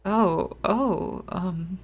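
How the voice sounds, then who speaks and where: Unhurried woman chatting through a game. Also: lowish voice, breathy edge. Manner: playful, chatty, halting asides. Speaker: gameplay streamer. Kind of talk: casual playthrough commentary with read-aloud game text.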